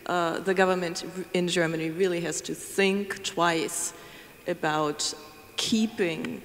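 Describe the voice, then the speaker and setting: A woman's voice: on the low side, steady tone, slow. A woman in her fifties speaking as a panelist in a discussion, into a handheld microphone. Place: large conference room with audience. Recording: echoey hall with faint room noise.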